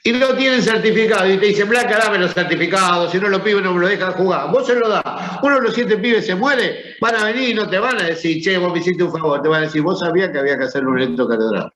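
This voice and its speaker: Male teacher, Rioplatense accent, higher voice, chatty and questioning, echoey classroom, muffled recording. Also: quick pace, few pauses, a bit bright. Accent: Rioplatense Spanish. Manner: conversational, asks the class questions. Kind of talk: classroom lecture. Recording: echoey room, dull sound.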